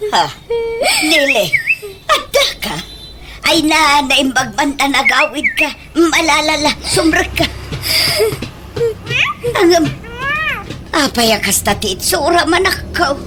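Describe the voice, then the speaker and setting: A woman acting a caring, coaxing village woman in an Ilocano comedy radio drama, with an expressive delivery and an Ilocano accent. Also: sits high, slow pace, long pauses, heavy background noise, exaggerated voice. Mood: surprised, concerned.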